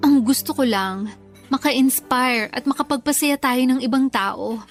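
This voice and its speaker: A woman's voice: sits high, measured pace. An actress with a Filipino accent playing an earnest, disillusioned young girlfriend in a radio drama. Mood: hurt, pleading. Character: hurt, disillusioned young girlfriend, a content creator. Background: music.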